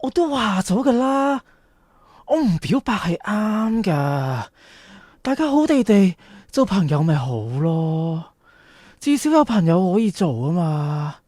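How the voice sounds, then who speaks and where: A woman's voice: mid-range voice, very animated, takes it slowly, mellow, no echo, studio quality. A woman voicing a shy, awkward, lovesick schoolboy with a Hong Kong Cantonese accent in an audio drama. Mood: dejected. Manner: stammering, hesitant when flustered.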